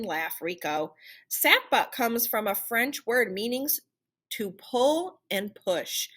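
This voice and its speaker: A woman reading a children's picture book aloud in an animated, theatrical storytelling manner. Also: a little high. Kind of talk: expressive children's read-aloud narration.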